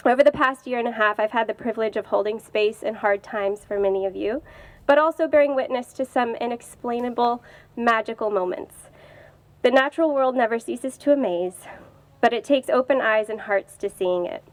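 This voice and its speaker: A woman's voice: on the high side, moderate pace, no echo. A woman giving an informal welcome speech outdoors to a gathering. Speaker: cemetery staff member giving welcome speech.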